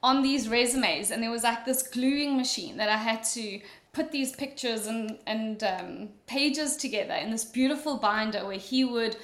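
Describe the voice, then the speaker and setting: A woman from Mauritius with a South African accent, telling her career story as a podcast guest in a relaxed, storytelling way. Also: fairly high pitch, normal speed. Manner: relaxed storytelling, frequent ums. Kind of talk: conversational interview answer. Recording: echoey room.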